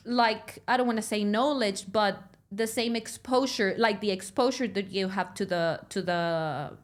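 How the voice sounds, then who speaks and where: Mexican-accented woman host, native Spanish speaker, lively casual interviewer, laughs a lot, mid pitch. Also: a little slow. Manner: lively, laughs often, restarts sentences. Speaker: podcast host.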